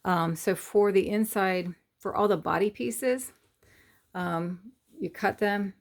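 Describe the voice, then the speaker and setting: Hoarse, gravelly woman explaining sewing. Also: mid-range voice, takes it slowly. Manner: rambling, hesitant with many fillers. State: hoarse, losing her voice. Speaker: sewing channel host. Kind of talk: casual sewing tutorial.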